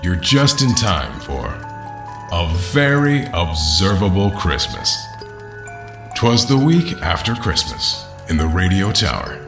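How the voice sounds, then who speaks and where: Slow, swooping theatrical fireside host. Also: male voice, lowish voice, vocal fry, heavily acted. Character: welcoming, theatrical fireside host reciting a Christmas tale. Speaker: host narrator. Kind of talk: rhymed storybook narration. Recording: roomy reverberant sound under loud music. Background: music.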